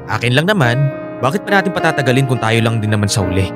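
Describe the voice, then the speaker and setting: An actor playing Felix, a clingy young boyfriend in love, emotional and coaxing in a radio romance drama. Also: male voice, medium pitch, very animated, quick pace, loud music behind the voice, lightly acted. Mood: insistent. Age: young adult.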